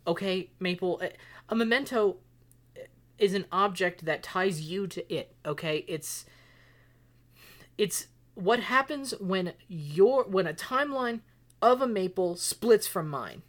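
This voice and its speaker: A woman acting an exasperated, volatile character in an audio drama, pleading in halting, stammering, emphatic speech. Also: mid-range voice, animated. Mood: tense, explaining.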